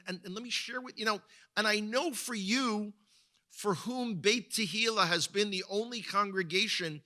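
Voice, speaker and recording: A man's voice: high voice, bright, forceful tone. A man with an Israeli accent giving a conversational talk as a guest speaker at a congregation, often repeating words as he searches for them. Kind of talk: sermon-style talk.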